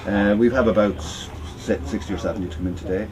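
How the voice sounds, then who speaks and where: A man's voice: lowish voice, fairly even intonation, fairly quick, a crowd in the background. An Irish man, the campsite organiser, explaining the site in an easygoing, matter-of-fact way during an outdoor interview. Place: outdoor campsite by a running generator. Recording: outdoor field recording with generator noise.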